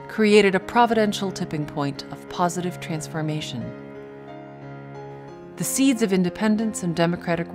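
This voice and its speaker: A woman reading a scripted documentary narration in a measured, earnest way. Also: lowish voice.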